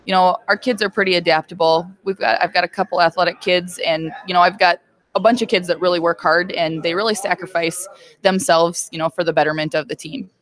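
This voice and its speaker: A woman in her thirties, a girls basketball head coach, answering interview questions in a plain-spoken way with many fillers. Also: fairly low pitch. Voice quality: loud voice.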